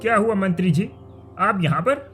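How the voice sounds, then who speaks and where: A woman's voice: medium pitch, animated, moderate pace, a bit bright, natural voice. A woman playing a humble, protective village hunter in a cartoon story, polite and questioning. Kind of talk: Hindi cartoon story acting. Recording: music under the voice.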